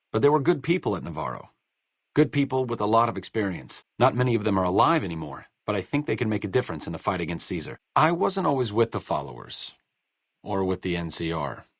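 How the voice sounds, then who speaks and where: A man's voice: on the low side, dry recording. A man playing a bookish, self-deprecating doctor and researcher in a video game, speaking in a dry, articulate, sardonic way. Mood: earnest, hesitant. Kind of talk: video game companion dialogue.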